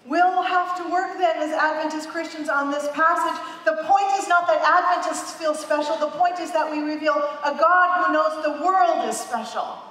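A woman's voice: high-pitched, steady tone, moderate pace, few pauses, fairly bright tone, a little hiss or hum. A woman in her fifties, a pastor preaching a sermon on a large church stage, emphatic and repeating phrases for emphasis. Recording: echoey hall, heard from a distance.

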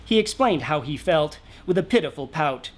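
A man's voice: fairly high pitch, lively intonation, normal speed. A man in his thirties reading a storybook aloud in rhyme, theatrical, rhythmic and emphatic. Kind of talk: dramatic rhyming storybook narration. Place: small cosy brick-walled lounge indoors.

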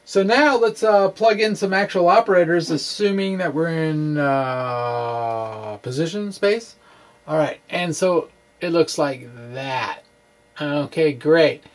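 A middle-aged man giving an informal physics explanation to camera, speaking haltingly in a wry, quirky way with playful asides, into a microphone in a small room. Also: fairly high pitch. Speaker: physics blogger and presenter. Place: small indoor room with bookshelves. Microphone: microphone on a stand in front of him.

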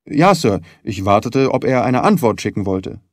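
A man playing a dutiful male hotel porter in an audiobook. He answers in a plain, respectful, deferential way. The voice is medium in pitch, recorded dry and close. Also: big pitch swings, normal speed, no room sound. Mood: deferential, matter-of-fact. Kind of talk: audiobook character dialogue.

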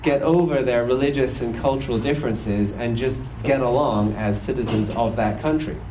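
A British man in his forties with an accent softened by years in America, giving a relaxed, good-humored Sunday sermon into a stand microphone. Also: mid-range voice, background noise. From British, raised in several countries. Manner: conversational and good-humored, many "you know"s, puts on quick voices. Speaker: church speaker. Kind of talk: Sunday sermon with personal testimony. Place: church hall stage.

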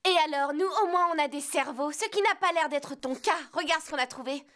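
Very high, bratty demon girl. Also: a little fast, fairly bright tone. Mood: taunting. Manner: sassy, brash.

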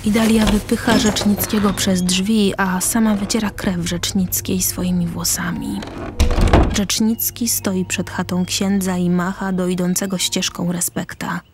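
A woman reading scripted stage directions as the narrator of a drama, with a husky voice. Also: mid-range voice, flat delivery, a little slow, heavy background noise.

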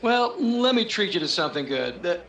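A smug young show-off from a radio comedy, acted by a man. His voice sits high and bounces around in pitch, with a halting delivery. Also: big pitch swings, a bit bright, heavily acted, low-quality recording. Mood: breezy, smug. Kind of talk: old-time radio comedy acting.

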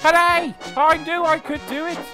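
A man's voice: sits high, very animated, takes it slowly, over music, pitched above the performer's own voice, full character voice. A man voicing a vain, huffy steam engine in a children's story, boastful and whiny in his exclamations. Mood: triumphant.

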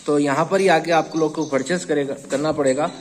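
Hyderabadi male host, talkative farm-tour interviewer, slightly high pitch, faint background noise. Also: steady tone, a little fast. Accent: Hyderabadi Hindi-Urdu. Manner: chatty, repeats answers back. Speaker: host of a goat channel. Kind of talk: casual on-site interview.

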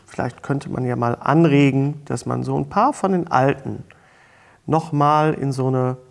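A man's voice: medium pitch, steady tone, unhurried, gravelly. A middle-aged man hosting a talk show, presenting in a casual, jokey, enthusiastic way. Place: small studio set, seated at a table. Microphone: lapel mic.

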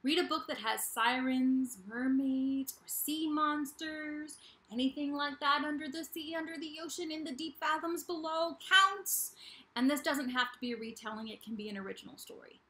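A young woman hosting a casual vlog to camera, talking in a bubbly, playful, animated way in a small room. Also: sits high, normal speed. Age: young adult. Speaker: YouTube host of a book channel. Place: small bedroom indoors. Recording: echoey untreated room.

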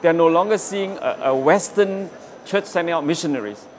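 Emphatic man, somewhat high voice. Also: a little fast, darkish tone. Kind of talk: interview comment.

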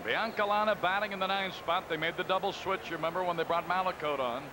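A man calling baseball play-by-play in a calm, conversational way, reading out stats between pitches. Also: quick pace. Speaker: play-by-play announcer. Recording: broadcast booth over loud crowd noise. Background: stadium crowd ambience.

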